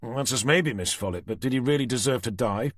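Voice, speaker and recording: Ein ruhiger, bestimmter Kriminalinspektor, von einem Mann mit britischem Akzent gesprochen, kontrolliert und beschwichtigend, mit leicht verhauchter Stimme. Trocken aufgenommen, im Hörbuch. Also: male voice, mid-range voice, fast, a bit bright, no echo, clean studio sound, lightly acted. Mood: probing. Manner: firm, placating, controlled. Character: calm male detective inspector, firm and measured.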